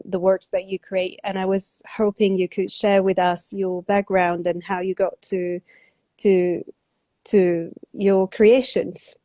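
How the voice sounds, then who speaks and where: A woman hosts a casual interview over a video call. Her voice is mellow and relaxed, with a very dry sound, and she phrases her questions politely and haltingly. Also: fairly low pitch, dry recording. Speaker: host, interviewer.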